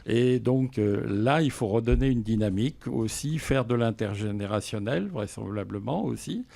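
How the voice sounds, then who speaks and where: Creaky, mellow man, halting explanations. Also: mid-range voice. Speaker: local elected official, interview guest. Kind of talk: explanatory interview talk.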